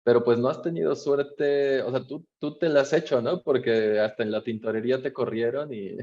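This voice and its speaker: A man's voice: mid-range voice, normal speed, a little mellow, highs cut off. A man with a Mexican accent moderating a conversation, reading out audience questions in a friendly, hesitant conversational manner. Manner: friendly, encouraging, with frequent hesitations. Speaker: moderator, interviewer.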